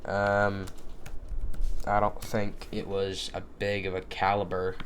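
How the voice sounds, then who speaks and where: A man's voice: sits low, monotone, takes it slowly, a little hiss or hum. A young man hosting a casual solo podcast, sounding stuffy from a cold, rambling with many fillers and restarts into a desk microphone. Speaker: podcast host.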